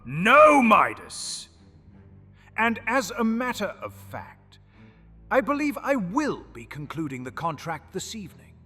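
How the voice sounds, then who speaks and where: A man voicing a cackling goblin, high and bouncing voice, slow teasing delivery, bright and sharp, greedy and mocking, long reverb, soft music behind. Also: full character voice. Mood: angry. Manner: giggles, teasing, turns to shouting. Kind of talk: ASMR audio drama.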